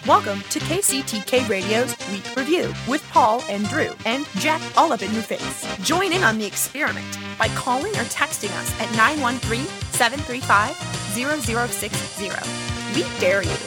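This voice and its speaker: A woman reads a radio show's intro promo briskly over a loud backing track. Her voice is slightly high. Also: expressive. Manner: brisk, announcing. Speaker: announcer. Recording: loud music bed underneath.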